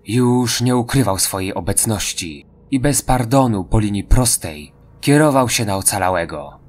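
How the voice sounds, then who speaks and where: A man's voice: on the low side, lively intonation, normal speed. A man narrating a scripted horror story as an audio drama.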